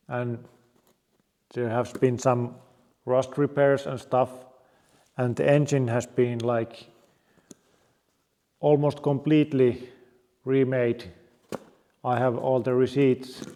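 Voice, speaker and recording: A Finnish-accented man talks at an unhurried pace in a soft, mellow voice, his English plain and a little halting. His speech carries a long reverberation from a bare home garage. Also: mid-range voice. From Finland. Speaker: car restoration vlogger. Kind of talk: casual presentation to camera.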